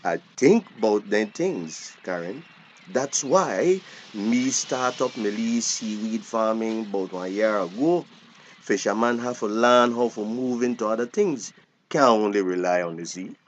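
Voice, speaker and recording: An actor playing a plain-spoken older fisherman with a Belizean Creole accent, talking in deliberate, drawn-out phrases in a drama. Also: on the low side, expressive, slight background noise. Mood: reflective. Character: seasoned old fisherman, plain-spoken and practical. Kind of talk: naturalistic dialect radio drama acting.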